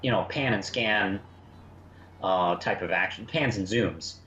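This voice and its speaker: A man with a low voice talks casually as a podcast co-host. He rambles, dropping in frequent asides as he goes. The recording is echoey and slightly dull, with a little noise behind him. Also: unhurried, bright, forceful tone. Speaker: podcast co-host reviewing a fan audio drama. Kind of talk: casual review chat. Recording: echoey, muffled, faintly noisy room.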